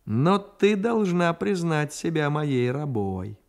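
A man's voice: on the high side, sing-song, takes it slowly, voice pushed up, full character voice. A man voicing a boastful, bossy young boy who declaims pompously and solemnly in a radio story reading. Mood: pompous, commanding.

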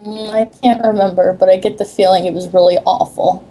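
A woman's voice: medium pitch, steady tone, brisk, mellow, a little hiss or hum, poor audio. A woman taking part in a casual amateur script read-along. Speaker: amateur reader in a group script reading.

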